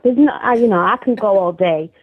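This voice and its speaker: A woman's voice: mid-range voice, a little fast. South Yorkshire woman on a phone line. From South Yorkshire, England. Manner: chatty, laughs often. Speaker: caller. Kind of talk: casual chat. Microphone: phone call.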